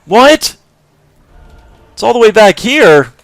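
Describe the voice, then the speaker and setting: A young man giving casual, rambling commentary while he plays a video game, with lots of fillers and sudden shouts. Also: sits high, sing-song, takes it slowly, dry recording. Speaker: gameplay video host. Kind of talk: casual let's play commentary.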